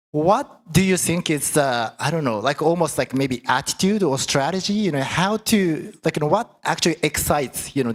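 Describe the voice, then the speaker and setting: Halting male moderator, many fillers. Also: mid-range voice. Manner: halting, heavy use of "you know" fillers. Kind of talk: panel discussion questions.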